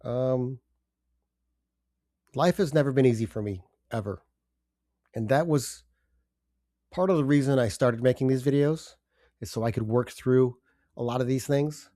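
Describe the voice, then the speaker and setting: An older man hosting a personal podcast, congested from a cold, speaking in a halting, subdued way with pauses and fillers. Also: mid-range voice, warm tone, dry recording, studio quality. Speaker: podcast host. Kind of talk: personal confessional monologue.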